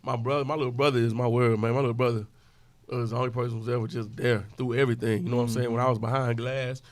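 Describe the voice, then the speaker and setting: A man speaks quickly and earnestly, leaning on street slang as he makes his points. His voice is dry and close, with no room sound around it. The delivery stays conversational and emphatic. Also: mid-range voice, little pitch movement, no echo. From Houston, Texas. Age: 40s. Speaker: podcast guest, club owner. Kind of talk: casual conversation.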